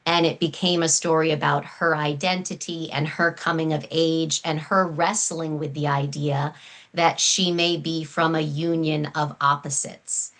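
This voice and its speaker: Articulate woman author, slightly low voice. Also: fairly even intonation, measured pace, a bit bright. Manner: articulate, earnest, full flowing sentences. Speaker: guest author. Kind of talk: explanatory interview talk.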